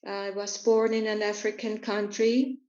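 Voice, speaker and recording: A woman's voice: medium pitch, little pitch movement, unhurried, a little mellow. A woman interpreting an interview into English, hesitant and full of filler sounds. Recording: roomy, dull lo-fi recording.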